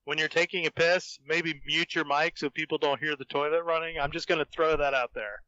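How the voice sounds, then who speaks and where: Bright-voiced man, teasing, slightly muffled. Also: fairly high pitch, fairly even intonation, a little fast, dry recording. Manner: teasing, mock-indignant, dry ribbing. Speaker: podcast guest. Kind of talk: casual banter. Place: remote group voice call.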